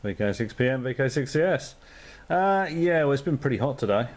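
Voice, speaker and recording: A man's voice: mid-range voice, lively intonation, a little fast. An Australian man and amateur radio operator in easygoing, rambling radio chat, full of fillers and signing off with call signs. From Perth, Western Australia. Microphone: radio transceiver microphone.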